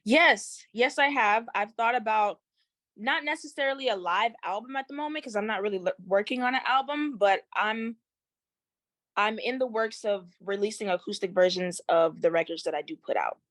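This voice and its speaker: A young woman from Haiti chats as a guest in an interview, loose and slangy, with plenty of likes and ums. Her mid-pitched voice comes through close and dry, with no room sound around it. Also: moderate pace, no echo. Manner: relaxed, slangy, with frequent fillers. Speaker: singer, guest. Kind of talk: casual interview chat.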